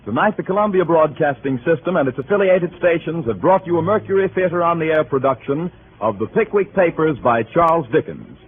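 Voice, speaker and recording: A man's voice: a little high, expressive, a little fast, narrow, tinny audio, a little hiss or hum. A male radio announcer reading credits in formal 1930s broadcast style.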